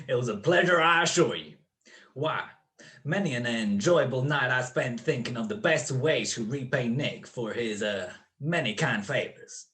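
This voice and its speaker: A man's voice: medium pitch, measured pace, fairly bright tone, lightly acted. A man playing a suave gangster boss in a radio crime drama, mock-courteous and taunting. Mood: gloating. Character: suave, gloating male gangster boss with a gun.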